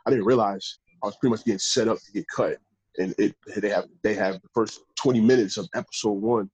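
A man, a professional football player, telling stories in a casual interview with a deep voice and a loose, rambling way of talking. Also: steady tone, quick pace, darkish tone, slightly rough, dry recording, rough recording. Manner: laid-back, rambling with restarts. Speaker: pro football player guest.

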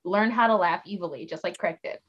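A woman's voice: fairly low pitch, fast, highs cut off. A woman hosting a podcast about tabletop games, chatting casually and laughing often. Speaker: podcast host. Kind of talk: casual chat.

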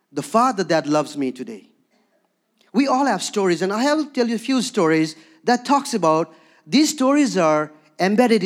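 Higher-voiced man preaching with a Himalayan accent. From Darjeeling, India, with Bhutanese background. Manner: conversational, frequently checks in with listeners with "okay?". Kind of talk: sermon with personal storytelling.

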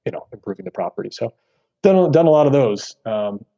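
An American man with a deep voice giving earnest, explanatory interview answers as a podcast guest, with frequent asides. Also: very animated, a little fast, vocal fry, dark tone, lo-fi sound. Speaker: podcast guest, real estate executive.

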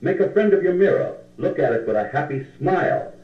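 A man's voice: on the high side, expressive, brisk, distant mic. A man narrating a scripted self-help tape in an emphatic, declamatory manner. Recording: tinny, echoey vintage cassette tape.

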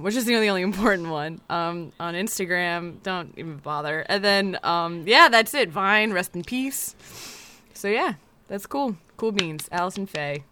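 A woman's voice: mid-range voice, sharp tone, smooth. A woman co-hosting a casual podcast, joking, giggling and trailing off mid-thought. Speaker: podcast co-host. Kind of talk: casual chat.

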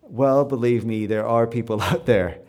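Canadian male preacher, mid-pitched, chatty delivery with fillers, rising to firm emphasis. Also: normal speed. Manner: conversational, with frequent fillers and repeated words; builds to emphatic, louder stresses. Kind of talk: sermon to a live audience.

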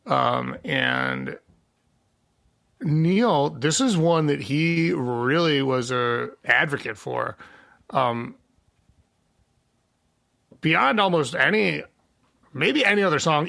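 A man's voice: a little high, lively intonation, takes it slowly, vocal fry, long pauses, pushed and bright, no echo, studio quality. A man guesting on a podcast, chatting casually about his songwriting in a rambling way with lots of fillers.

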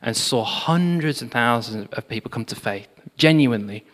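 A man giving a sermon, telling revival history in an animated storytelling manner with frequent fillers. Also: medium pitch, normal speed. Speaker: preacher. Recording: long hall reverb.

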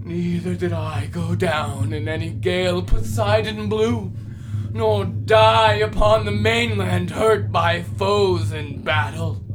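An actor playing the bitter ghost of a murdered warrior king, declaiming heavily in an audio drama of epic verse. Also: mid-range voice. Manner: very slow, heavy, declamatory. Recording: music behind the voice.

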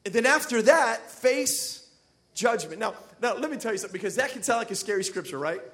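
A man preaching a sermon to a church congregation. He talks casually, cracks jokes and builds up to loud, emphatic passages. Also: extremely high pitch, animated, fairly quick. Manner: conversational, jokey, builds to shouting emphasis. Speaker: pastor. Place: church sanctuary.

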